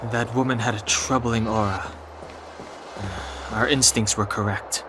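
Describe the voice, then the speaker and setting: A man voicing a calm, serious, guarded young warrior in an anime game, measured and terse. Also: fairly low pitch, close to an everyday voice. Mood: wary. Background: game music.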